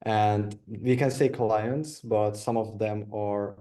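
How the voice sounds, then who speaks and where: A man's voice: fairly low pitch, steady tone, takes it slowly, warm tone. A young man from Romania, answering interview questions on a video call, a bit hesitant and repeating words. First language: Hungarian. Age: young adult. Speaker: guest, video editor.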